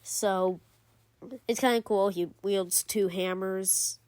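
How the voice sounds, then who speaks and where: A woman's voice: medium pitch, fairly even intonation, slow, a little mellow, no room sound. A woman giving casual commentary as a gaming video creator, rambling with lots of fillers and self-corrections. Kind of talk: casual game commentary ranking.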